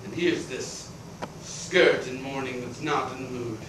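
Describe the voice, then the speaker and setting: A man's voice: sits high, very animated, moderate pace. A man plays a middle-aged landowner in a permanent fury, ranting in a raised voice. The sound is far from the mic, with heavy room echo. A faint hiss sits under it, as on an old stage recording. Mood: defiant. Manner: ranting, blustering, swings from shouting to grumbling. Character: irascible blustering male landowner creditor, middle-aged. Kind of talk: theatrical stage acting.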